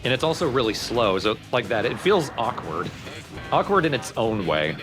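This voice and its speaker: A man's voice: mid-range voice, very animated, moderate pace, over music. A man giving casual, critical commentary on gameplay as a let's player.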